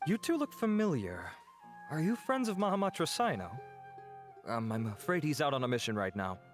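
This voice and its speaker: A man voicing a polite, composed and helpful official in a video game, speaking in a courteous, measured way. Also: on the high side, very animated, faint music behind.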